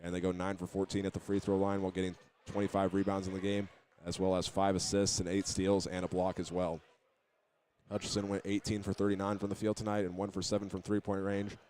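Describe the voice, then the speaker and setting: A man's voice: sits low, a little mellow, slight background noise. A man giving postgame basketball radio commentary, reading out statistics with some hesitation. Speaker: sports play-by-play announcer.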